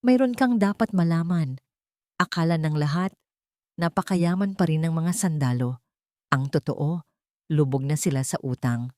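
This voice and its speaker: A woman narrator acts out an elderly housemaid in her sixties from an audio storybook. The voice is low and confiding, carrying bitterness and secrets. The sound is dry. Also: normal speed, long pauses, no echo, close to an everyday voice. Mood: secretive urgent. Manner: hushed, confiding. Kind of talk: audio storybook character dialogue.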